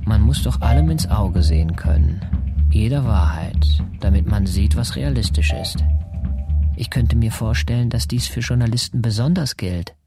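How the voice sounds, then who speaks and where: Low-voiced, coldly matter-of-fact fixer. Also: male voice, lively intonation, normal speed, music in the background. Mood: cold, reflective. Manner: dispassionate, bleakly matter-of-fact. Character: Rudnick, coldly matter-of-fact male fixer selling war photographs. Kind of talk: radio drama acting.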